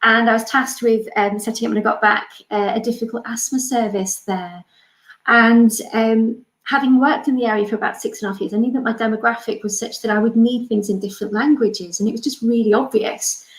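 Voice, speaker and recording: Woman, forties, Welsh, consultant, earnest, halting with fillers, echoey room. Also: a little high, fairly even intonation. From Wales. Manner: earnest, many fillers and restarts. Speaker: respiratory consultant. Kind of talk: panel talk.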